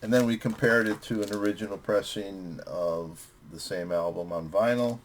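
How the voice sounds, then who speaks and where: A man's voice: lowish voice, steady tone, moderate pace, slight background noise, low-quality recording. A man hosting a music vlog, chatting casually as he reviews records with friends.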